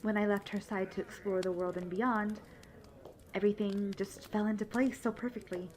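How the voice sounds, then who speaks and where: A woman playing a cheerful, polite young travelling bard who chats brightly and directly to the listener in a fantasy audio roleplay. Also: mid-range voice, fairly even intonation, normal speed, lightly acted. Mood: cheerful, chatty. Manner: chatty, bubbly, polite.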